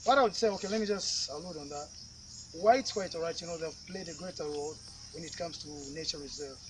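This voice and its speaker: A man's voice: on the high side, fast. A man with a Zambian accent in a casual interview, hesitant and conversational, repeating himself. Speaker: concerned citizen interviewee. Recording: noisy outdoor ambience with insects.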